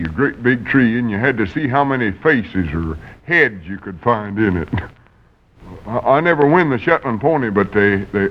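An actor playing a slow-witted, innocent young country man, rambling naively in radio comedy. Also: medium pitch, natural voice. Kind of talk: 1940s radio comedy acting. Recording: muffled vintage radio recording.

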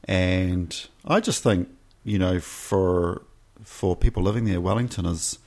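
Deep-voiced New Zealand filmmaker guest. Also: male voice, takes it slowly, darkish tone. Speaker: documentary maker, guest. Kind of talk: casual interview chat.

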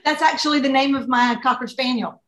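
A woman's voice: high voice, steady tone, a little fast, reverberant. A middle-aged woman from the US South with a thick Gulf Coast accent, chatting as a podcast guest, laughing easily and calling people honey. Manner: warm, laughing, says honey. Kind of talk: casual interview chat.

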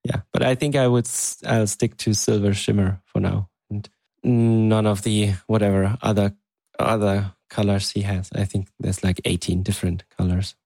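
A man's voice: fairly low pitch, little pitch movement, moderate pace, gravelly, a little mellow, no echo, poor audio. A man co-hosting a podcast in casual conversation, with hesitant, thoughtful phrasing. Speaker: podcast co-host. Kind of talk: casual chat.

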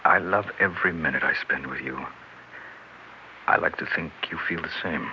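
Unfaithful husband, American, very low voice, weary and persuasive, scheming; muffled 1960s radio drama. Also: male voice, normal speed, pushed and bright, slight background noise, exaggerated voice. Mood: pleading, tender.

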